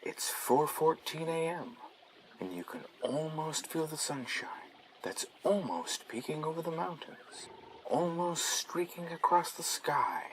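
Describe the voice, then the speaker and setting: A man playing a dreamy, soothing overnight DJ on an ambient radio station, speaking in a hushed, mock-serene, drawn-out way. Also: fairly high pitch, slight background noise, exaggerated voice, rough recording. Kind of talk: parody late-night ambient radio announcing.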